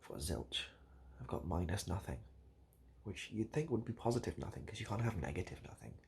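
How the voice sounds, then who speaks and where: Deep gravelly British man, rambling deadpan. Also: a little fast, slight background noise. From United Kingdom. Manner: hesitant, rambling, repetitive, deadpan. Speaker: comedic video host. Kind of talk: improvised absurd comedy roleplay addressed to the viewer.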